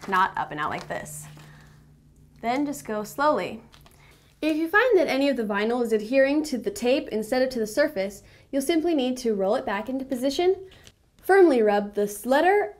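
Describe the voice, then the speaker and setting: A young adult woman presenting a step-by-step how-to tutorial in a measured, instructional manner. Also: fairly high pitch.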